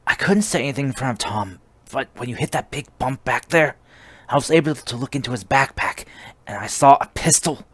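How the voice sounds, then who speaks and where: A man's voice: mid-range voice, expressive, a little fast, a bit bright, slightly rough, dry recording. A man playing a nervous passenger confiding a warning in an audio drama. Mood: alarmed.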